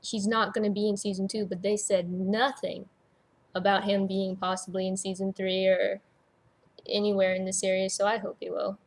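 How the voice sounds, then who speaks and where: A woman's voice: medium pitch, flat delivery, moderate pace. A woman giving her opinions in a casual fan discussion as a podcast panelist.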